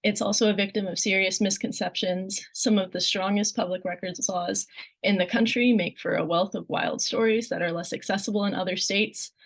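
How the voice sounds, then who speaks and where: A woman's voice: mid-range voice, fairly even intonation, moderate pace. A woman from Florida who is losing their voice, reading a prepared statement on a press call. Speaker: communications director. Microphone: virtual call.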